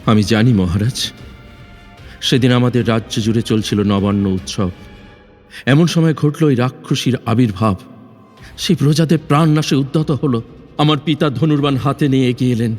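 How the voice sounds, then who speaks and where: Dutiful young prince played by a man, earnest declamation for a fairy-tale audio drama, long reverb over soft music. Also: medium pitch, moderate pace, pauses often, lightly acted. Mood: solemn, earnest. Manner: formal, declamatory, solemn.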